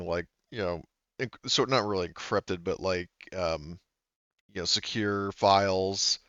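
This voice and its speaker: A man with a very low, smooth, resonant voice runs a tabletop game, rambling through his notes with plenty of fillers and restarts. The sound is close and dry over a home computer mic on a voice call. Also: fairly bright tone, no echo, dull audio. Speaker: game master.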